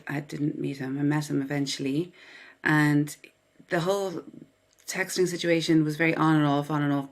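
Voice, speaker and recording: A woman from Dublin with an Irish accent, giving a personal account as an interview guest. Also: deep voice. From Dublin, Ireland. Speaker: podcast guest, writer and psychotherapist.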